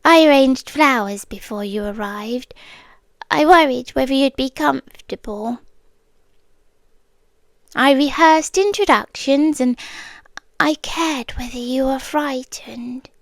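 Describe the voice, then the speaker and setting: A woman's voice: high voice, no echo. A woman whispering as an obsessive British kidnapper girlfriend. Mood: brooding. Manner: soft whispering, slow musing monologue. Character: obsessive romantic young woman kidnapper, calm and reflective. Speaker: ASMR roleplay creator. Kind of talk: ASMR roleplay.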